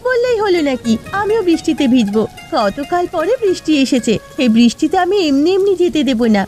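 Very high-pitched, aggrieved village housewife played by a woman. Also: very animated, fairly quick, darkish tone. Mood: concerned, insistent. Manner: complaining, dramatic pleading. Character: aggrieved, weary village housewife, fretful but caring. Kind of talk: Bengali cartoon voice acting. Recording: music behind the voice.

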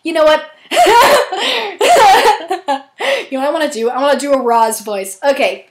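A young woman chatting casually and self-deprecatingly between audition takes, with a giggly, excitable manner. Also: sits high, a little slow, reverberant. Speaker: amateur voice actor auditioning.

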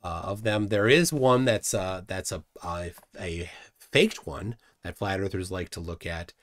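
A middle-aged man hosting a casual livestream from a room at home, scornful and animated, often trailing off quietly. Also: deep voice, a little slow. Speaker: host.

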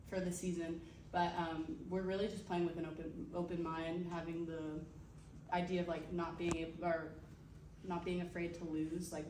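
A young woman softball player answering in a calm, low-key way. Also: a little hiss or hum. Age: young adult. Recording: roomy, distant phone recording.

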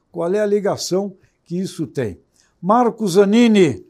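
A man's voice: high voice, dark tone. An older man with a Brazilian accent hosting a broadcast interview in an effusive, emphatic way. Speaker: interview host. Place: indoor broadcast studio.

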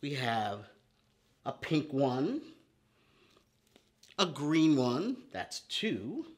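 Slow, animated children's storytime man. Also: medium pitch. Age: middle-aged. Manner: animated, exaggerated, simple repetitive phrasing for small children. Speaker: children's storytime host and teacher. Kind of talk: preschool lesson with chants and counting rhymes. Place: indoor storytime room with painted paper backdrop.

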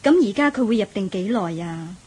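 A woman's voice: medium pitch, expressive, brisk, dark tone, slightly rough. An actress playing a curious, easily amazed laywoman in a radio drama, questioning in a wide-eyed way. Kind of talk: Cantonese radio drama acting.

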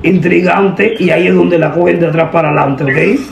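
A young woman making a deadpan prank phone call, giving instructions in an official-sounding manner. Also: on the low side, brisk, vocal fry, reverberant, a little hiss or hum. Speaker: prank caller, host. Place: indoor room at a table. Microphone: camera a short distance away.

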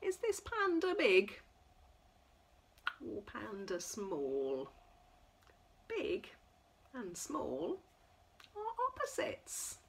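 A middle-aged woman leading a children's storytime, reading a picture book aloud in an expressive, sing-song way for small children. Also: mid-range voice, extremely slow, creaky, pauses often, slight background noise. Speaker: toddler class leader. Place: indoors in front of a backdrop.

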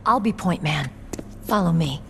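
A woman's voice: fairly low pitch, animated, normal speed, a bit bright, slight background noise. A woman playing a tough, proud young rookie soldier in a video game, earnest, determined and plain-spoken. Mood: brisk, confident. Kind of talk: dramatic video game cutscene acting. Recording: background music under studio voice.

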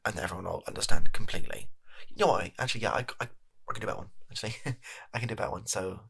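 Man playing a flustered, raspy British company boss. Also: fairly low pitch, fairly bright tone, full character voice. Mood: exasperated, sarcastic. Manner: bumbling, evasive, halting, put-upon. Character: flustered, shifty male energy company CEO recording an address. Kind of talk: improvised softly spoken comedy sketch.

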